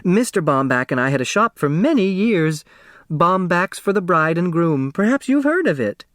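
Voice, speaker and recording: A man's voice: high-pitched, very animated, normal speed, a bit bright, dry recording, clean studio sound, pitched above the performer's own voice. A man voicing a small, timid older woman, a chatty dressmaker eager to please, in an audiobook.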